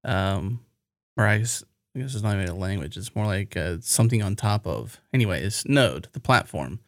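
A podcast host, a man with a low, gravelly voice, recorded dry and close. He asks curious questions and drifts into rambling asides. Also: animated, normal speed, no echo. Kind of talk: casual podcast conversation.

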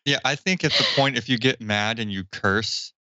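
A man's voice: medium pitch, moderate pace, pushed and bright, no room sound. A man co-hosting a podcast, making direct, thoughtful remarks in casual panel chat. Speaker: podcast co-host. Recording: dry, dull lo-fi audio.

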